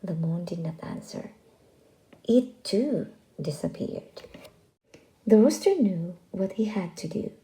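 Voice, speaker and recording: Slow, very mellow woman reading a storybook. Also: medium pitch, rough recording. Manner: expressive, deliberate. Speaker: children's storybook reader. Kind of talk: read-aloud scripted narration for young children.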